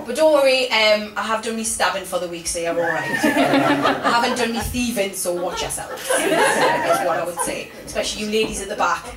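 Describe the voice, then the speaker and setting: A woman's voice: on the high side, wildly swooping pitch, moderate pace. Cheeky Geordie comic in her thirties, self-mocking crowd work, captured from a distance in a roomy, noisy venue. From Newcastle, England. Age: 30s. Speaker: stand-up comedian. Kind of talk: stand-up comedy with crowd work. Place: live venue with audience. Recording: echoey room, from afar, noisy.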